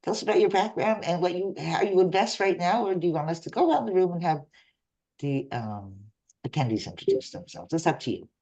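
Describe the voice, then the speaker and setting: A woman hosting an online investor meetup, lively and laughing often. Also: lowish voice, brisk, muffled recording. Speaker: host. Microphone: video call.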